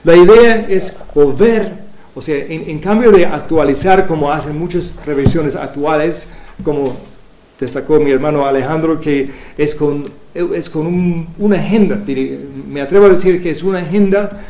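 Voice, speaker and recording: Man, non-native Spanish speaker, slightly high and mellow, halting church talk with frequent restarts, echoey room. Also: a little hiss or hum. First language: not Spanish. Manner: halting, many restarts and fillers. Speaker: Bible translation coordinator. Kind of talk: church presentation.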